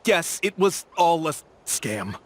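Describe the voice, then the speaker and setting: High-voiced sheepish swindler. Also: male voice, big pitch swings, slow pace, heavy background noise. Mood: grudging, defensive. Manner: hesitant, grudging. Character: sheepish, evasive male swindler guide. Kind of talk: video game voice acting.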